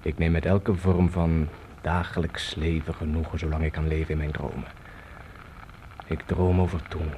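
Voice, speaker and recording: A deep-voiced, world-weary Spanish man in a radio drama, haunted by his memories. He speaks theatrically and haltingly, with brooding weight, over clear background noise. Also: level tone, darkish tone. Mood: dreamy, haunted. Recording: noisy radio drama recording.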